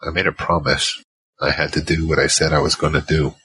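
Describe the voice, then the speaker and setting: A man's voice: rumbling low, level tone, a little fast, pushed and bright, husky, dry recording, heavily acted. A man playing a battle-worn gunfighter who narrates his own story in an audio drama, terse and world-weary. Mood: somber. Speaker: narrator and lead character. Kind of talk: first-person noir-like audio drama narration. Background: music.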